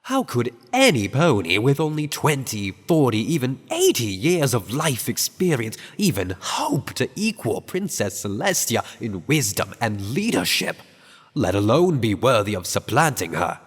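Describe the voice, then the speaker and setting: Radio drama role: condescending, sarcastic old royal advisor pony, male actor, animated intonation, long hall reverb. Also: medium pitch, measured pace, breathy edge. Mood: indignant lecturing. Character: haughty older male pony royal chamberlain. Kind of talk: fan-made radio drama acting.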